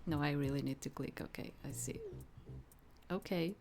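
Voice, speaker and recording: A woman's voice: low voice, moderate pace, creaky, darkish tone, a little hiss or hum. A woman reading a visual novel aloud as a let's play, informal, with hesitant casual asides. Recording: game music behind the voice.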